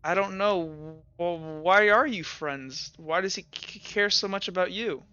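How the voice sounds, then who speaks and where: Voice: mid-range voice, sharp tone, smooth, highs cut off. A player voicing a hesitant, loyal teenage street orphan who stammers and halts in a tabletop game. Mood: defensive, halting. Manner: stammering, halting.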